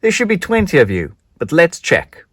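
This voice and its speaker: Storybook schoolmaster, played by a narrator: firm, orderly, projecting to a class, pitched up and animated. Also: moderate pace, dry recording, studio quality, heavily acted. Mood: instructing. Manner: clear, instructing, projected. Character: male primary school teacher, firm and orderly.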